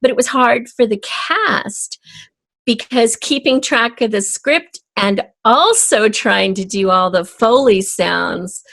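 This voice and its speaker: A woman's voice: on the high side, takes it slowly, creaky, a bit bright, no room sound. A woman speaking casually and explaining her work as an interview guest. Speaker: interview guest, audio drama writer.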